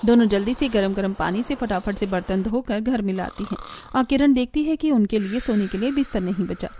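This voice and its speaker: A woman's voice: medium pitch, brisk, warm tone, slight background noise. A woman reading scripted narration for a cartoon family story, as the storyteller.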